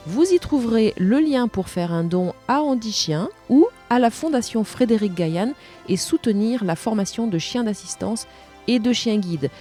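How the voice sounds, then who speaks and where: Mellow-voiced woman hosting a podcast. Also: on the high side, animated, normal speed, soft background music. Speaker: podcast host. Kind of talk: podcast presenting, measured explanation.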